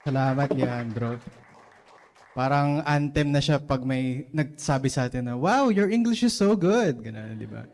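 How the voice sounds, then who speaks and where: A man's voice: medium pitch, animated, moderate pace, darkish tone. A man with a Filipino accent hosting an open mic event, talking loosely with many fillers. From Philippines. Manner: loose, chatty, filler-heavy. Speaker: event host. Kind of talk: casual emcee talk.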